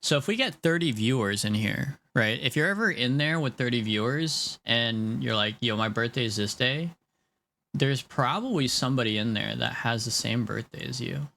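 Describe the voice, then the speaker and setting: A man's voice: medium pitch, moderate pace, gravelly, bright, forceful tone. A man in his late twenties casually chatting with viewers on a live stream while he draws, rambling and laughing as he goes. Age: 20s. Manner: rambling, chatty, laughs often, talks to chat.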